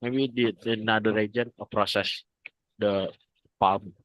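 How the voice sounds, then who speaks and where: A man's voice: lowish voice, fairly even intonation, a little slow, no room sound. A young man from North Sumatra with an Indonesian accent, answering in class hesitantly with many restarts. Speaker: student. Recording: dry, dull lo-fi sound.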